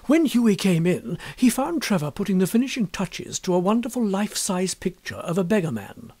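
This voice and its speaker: A man reading a literary story as an audiobook narrator, in an expressive scripted style. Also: high-pitched, fairly quick.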